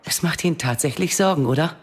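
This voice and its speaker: Calm, candid doctor. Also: male voice, a little high, fast, breathy edge. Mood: calm, reassuring. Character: calm, candid doctor reassuring a worried patient. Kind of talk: radio drama dialogue.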